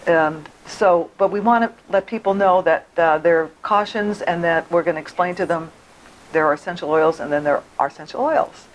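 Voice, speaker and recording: A woman hosting an interview show, hoarse today, chatty and quick to laugh. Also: lowish voice, low-quality recording. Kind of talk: casual interview.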